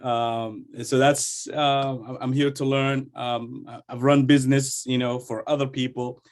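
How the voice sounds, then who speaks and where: A man from Somalia, introducing himself informally and haltingly as a participant in an online workshop. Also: medium pitch, flat delivery, crowd noise. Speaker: workshop participant, cybersecurity entrepreneur. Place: online video call.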